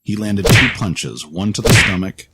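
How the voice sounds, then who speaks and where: A man's voice: fairly low pitch, lively intonation, measured pace, heavy background noise. A man reading scripted narration for a fantasy story in a storytelling style.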